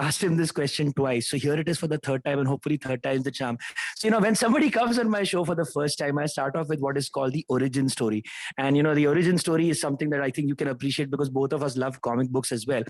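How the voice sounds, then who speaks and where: A man's voice: fairly high pitch, fast, no room sound. A man hosting a casual interview, chatty and mixing in Hindi phrases. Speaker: host.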